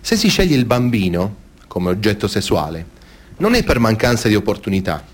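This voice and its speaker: An actor playing a male expert who explains in a deliberate, didactic and emphatic way, as in a scripted interview. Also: lowish voice, big pitch swings. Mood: explanatory, serious. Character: measured male expert explaining clergy abuse. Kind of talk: scripted interview-style stage testimony.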